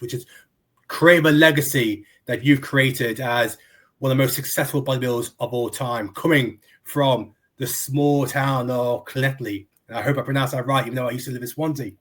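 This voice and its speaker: A man's voice: mid-range voice, fairly bright tone, breathy edge. A man from the UK hosting an interview over a video call, chatty and friendly, calling his guest "mate". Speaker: interview host.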